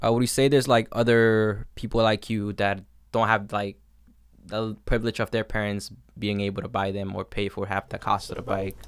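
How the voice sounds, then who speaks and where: The guy hosting a small homemade podcast, lowish, smooth voice, wandering through his questions. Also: level tone, moderate pace, no room sound, clean studio sound. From has lived in a New York suburb. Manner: informal, rambling questions full of "like". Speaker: interviewer. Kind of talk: casual interview.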